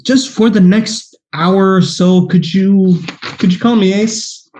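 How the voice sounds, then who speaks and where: A man's voice: sits high, fairly even intonation, slow, darkish tone, a little hiss or hum. Sonny, a man playing a hapless would-be hero. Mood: pleading. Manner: eager, wheedling, a bit hapless. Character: vain male private eye wanting to be called Ace. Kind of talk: 1940s radio acting.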